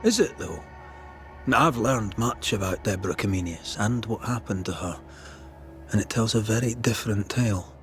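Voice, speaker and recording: An animated, challenging ghost hunter. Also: male voice, lowish voice, soft background music. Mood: grave storytelling. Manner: deliberate, accusing, eloquent. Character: shrewd, challenging male ghost hunter confronting authority. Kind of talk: dramatic game storytelling and interrogation.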